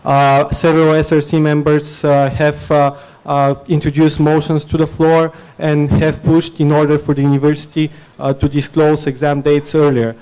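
A man's voice: a little high, level tone, normal speed, hardly any pauses, darkish tone, clean tone, rough recording. A young man from Bulgaria, Bulgarian-speaking by birth, giving an earnest campaign speech at a podium mic in a dim student venue, with many filler sounds and restarts. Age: young adult. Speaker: student election candidate. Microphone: podium mic on stand.

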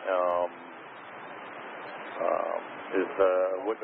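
Man, air traffic controller, low pitch, informal radio instructions, heavy noise. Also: monotone, extremely slow, vocal fry. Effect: aviation radio. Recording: narrow tinny radio transmission, heavy static noise.

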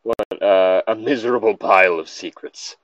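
A man's voice: fairly low pitch, moderate pace, no room sound, muffled recording. A man casually reading a story aloud and breaking off with exasperated, incredulous, sweary commentary.

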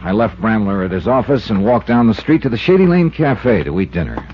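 A man's voice: lowish voice, big pitch swings, brisk, music in the background. A man acting a hard-boiled, wry insurance investigator in a 1950s radio detective drama, narrating in clipped, world-weary phrases. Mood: brooding. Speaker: lead actor and narrator of the series. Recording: muffled 1950s radio recording.

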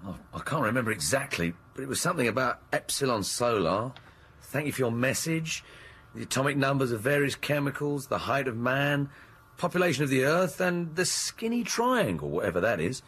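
An actor playing a plain-spoken, puzzled male communications officer in a science-fiction radio drama, with a husky voice. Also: medium pitch, very animated, brisk, a bit bright. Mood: recalling.

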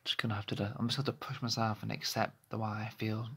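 Gravelly, husky man in his thirties. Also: fairly low pitch, flat delivery, racing, a bit bright. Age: 30s. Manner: hesitant, rambling, many restarts. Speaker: vlogger. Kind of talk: candid personal vlog monologue. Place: small bedroom, indoors. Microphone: phone held close to face.

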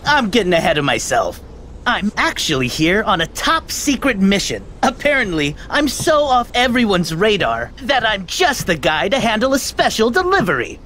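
An actor playing a lazy, cowardly young man in a video game, with a whiny drawl that jumps into sudden excitement. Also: high-pitched, moderate pace, a little hiss or hum, exaggerated voice. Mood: chummy.

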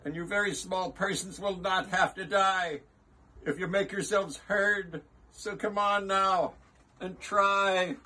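Storybook elephant, big-hearted and earnest, high husky voice calling out emphatically, acted by a man. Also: slow pace. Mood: urgent encouragement. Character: big kind earnest elephant, pleading and urgent.

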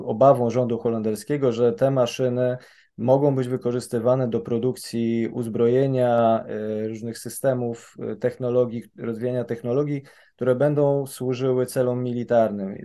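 A man in his thirties gives careful expert commentary, often pausing with fillers and restarting his sentences. His voice is mellow and dark-toned, sitting at an ordinary pitch. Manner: hesitant, many fillers and restarts. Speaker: policy analyst guest.

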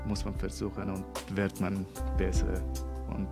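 A young man from Afghanistan whose first language is Dari, speaking German slowly and haltingly with an Afghan accent in a small radio studio. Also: sits low, completely flat delivery, creaky, over loud music. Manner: slow, halting speech with broken grammar. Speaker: interviewee. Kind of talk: interview answers. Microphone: studio mic on a boom arm.

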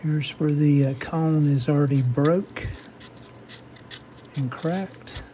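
A man's voice: on the high side, steady tone, slow pace, dark tone, a little hiss or hum. A man giving an unscripted, hands-on workshop demonstration, explaining step by step in a methodical, hesitant way. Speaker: host of a radio repair how-to channel. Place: indoor home workshop bench.

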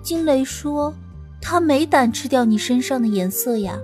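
Woman doing impulsive young male beast spirit, audio drama, high and lively, quick. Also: soft background music. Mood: puzzled. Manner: impulsive, eager, fast-talking.

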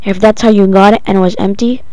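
A female-sounding speaker giving a casual, halting, improvised step-by-step software tutorial. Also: medium pitch, fairly even intonation, no room sound. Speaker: tutorial presenter. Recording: dull lo-fi home recording.

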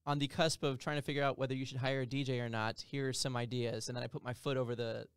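A man's voice: medium pitch, level tone, fast talker, clear, resonant tone, dry recording. A man in his thirties hosting a wedding podcast, telling stories full of quoted asides and fillers into a studio mic. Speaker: podcast host, DJ. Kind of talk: casual podcast chat. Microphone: studio mic on boom arm, headphones.

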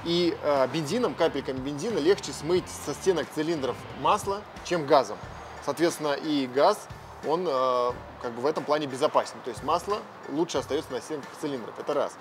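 A talkative man in his thirties walks through what he finds in his car's engine, explaining each step as he checks it in a repair garage. His voice sits a little higher than most men's, with clear music running underneath. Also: fairly quick. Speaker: car vlogger. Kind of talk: casual car vlog explanation. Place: car service garage, indoors, and outdoors near car.

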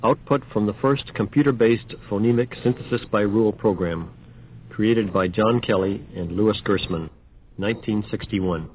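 Male narrator, measured and factual, lowish pitch, narrow-band archival sound. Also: husky. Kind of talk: scripted announcements of numbered demo examples. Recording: dull band-limited old audio.

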